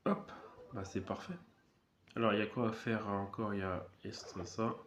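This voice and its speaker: A man with a cold giving relaxed, rambling commentary while playing a mobile strategy game, with many fillers and restarts. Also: lowish voice, moderate pace, roomy sound, lo-fi sound, a little hiss or hum. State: has a cold. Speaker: gaming channel host. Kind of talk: casual gameplay commentary.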